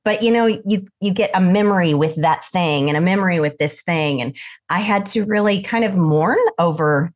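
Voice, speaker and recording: A woman's voice: fairly low pitch, normal speed. An older woman from southern Idaho with a mixed American accent, chatting as an interview guest and telling her story with frequent laughs. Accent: mixed American, Idaho and Texas. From southern Idaho, USA. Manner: chatty, animated, laughs often. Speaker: guest, lavender farm owner.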